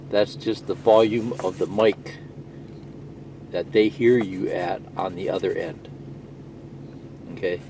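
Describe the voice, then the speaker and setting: A man's voice: on the low side, warm tone. A man giving a casual, unscripted how-to demonstration in a folksy, reassuring way, often checking in with small tags like "okay". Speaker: presenter of a CB radio how-to video. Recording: clearly noisy, with static and hum. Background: CB radio static hiss.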